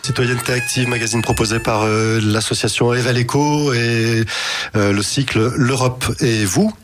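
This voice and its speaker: A man hosting a radio show casually, halting in his phrasing and given to jokey banter. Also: mid-range voice, fairly even intonation, faint music behind. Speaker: radio host.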